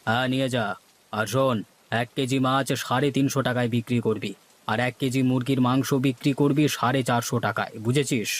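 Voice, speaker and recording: A man's voice: medium pitch, fairly even intonation, moderate pace, close to an everyday voice. A man voicing a shrewd, scheming village businessman in a cartoon, bossy and self-satisfied. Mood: smug. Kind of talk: Bengali cartoon voice acting.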